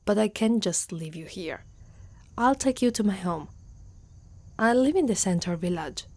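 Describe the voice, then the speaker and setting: Casting: woman as haughty centaur girl for ASMR fantasy roleplay, Italian accent, unhurried, soft dark tone, protective underneath. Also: medium pitch, animated, no room sound. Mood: concerned, protective. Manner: haughty, slow, often dropping into whispers. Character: proud, guarded young female centaur warrior, gruffly protective.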